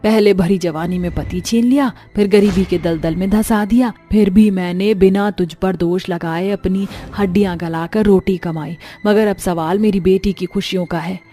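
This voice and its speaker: A woman's voice: mid-range voice, steady tone, normal speed, few pauses, mellow, lightly acted. A woman playing a poor, humble widowed mother who pleads emotionally in a storybook drama. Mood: anguished, pleading. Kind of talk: audio storybook drama acting. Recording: music under the voice.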